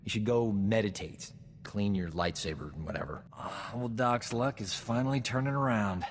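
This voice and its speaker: An actor playing a vain, flirtatious, smooth-talking doctor in a video game. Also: male voice, on the low side, normal speed, long reverb tail. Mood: scheming. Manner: cocky, self-admiring, smooth-talking. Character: vain, flirtatious male doctor, boastful charming rogue. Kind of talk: video game cutscene acting.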